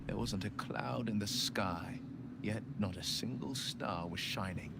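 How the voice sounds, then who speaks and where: An actor playing a noble, resolute young hero who speaks in an earnest, rousing way. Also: male voice, lowish voice, unhurried, creaky, very noisy. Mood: storytelling. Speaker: game companion. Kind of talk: video game dialogue.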